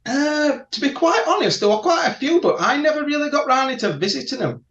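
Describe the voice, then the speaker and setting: A man from South Yorkshire with a strong Yorkshire accent, chatting as a guest and telling his martial arts story slowly and carefully, often laughing. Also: very high-pitched, animated, a bit bright. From England (Barnsley, South Yorkshire). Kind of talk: casual interview chat. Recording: echoey room, dull lo-fi audio.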